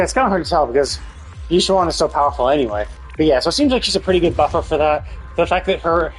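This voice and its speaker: A man's voice: a little high, slightly rough, rough recording. A man giving casual live game commentary, thinking aloud as he explains things, with some mild swearing. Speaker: game streamer.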